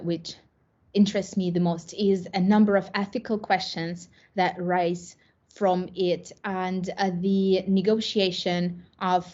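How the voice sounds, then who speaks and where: A woman's voice: on the low side, darkish tone, muffled recording. A woman with a Russian accent answering conference questions, hesitant, with many fillers and restarts. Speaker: academic presenter. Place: online conference.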